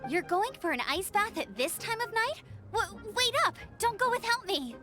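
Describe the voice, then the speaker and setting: An upbeat young scout talks eagerly in a high voice that leaps around. Game music sits faintly underneath. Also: female voice, big pitch swings, moderate pace. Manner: eager and friendly.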